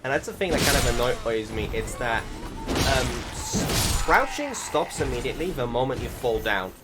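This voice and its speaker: A man's voice: mid-range voice, sing-song, moderate pace. A young man giving casual voice-over commentary on his gameplay, rambling with many fillers and restarts. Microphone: desktop recording setup. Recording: game music behind the voice.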